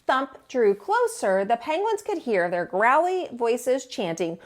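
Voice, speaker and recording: A woman narrating a children's storybook as a storytime teacher, in an expressive read-aloud style. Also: on the high side, slow pace.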